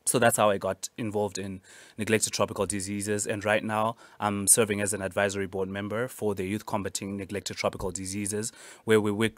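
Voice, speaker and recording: A man's voice: fairly low pitch, no echo. A young man from Zimbabwe, a junior doctor, answering interview questions earnestly with frequent restarts in a studio. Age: young adult. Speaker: junior doctor, youth advocate.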